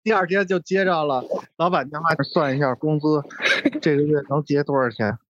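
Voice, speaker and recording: A man's voice: fairly high pitch, fairly quick, no echo. A man in his thirties chatting casually as a podcast guest, blunt, opinionated and sweary, on a slightly muffled recording. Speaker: podcast guest with workplace experience. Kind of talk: casual chat.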